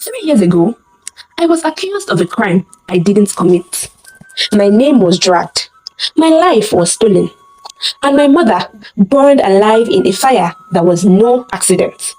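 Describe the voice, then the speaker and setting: A woman's voice: medium pitch, big pitch swings, measured pace, mellow, faint music behind, low-quality recording. A woman storyteller voicing a wronged woman back for revenge, cool, resolute and declamatory in a dramatic folktale. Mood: wounded defiance.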